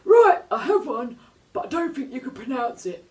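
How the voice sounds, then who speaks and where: A woman doing a high, excitable male shark. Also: normal speed, distant mic. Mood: eager. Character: nervous chatty male shark, eager to please.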